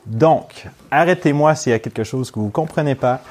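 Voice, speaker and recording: Man, young adult, Québécois French accent, English first language, trainer addressing a class, chatty and informal, middling pitch. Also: a little mellow. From Montreal, Quebec, Canada. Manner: chatty and informal, with quick laughs and "ok?" checks. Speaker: neurofeedback trainer. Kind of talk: opening a training course.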